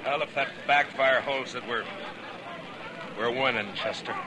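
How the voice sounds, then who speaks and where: An actor playing a stern, weary frontier marshal in a 1950s radio western, terse and commanding. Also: male voice, mid-range voice, unhurried, crowd noise, natural voice. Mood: grim, weary. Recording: noisy old 1950s radio recording.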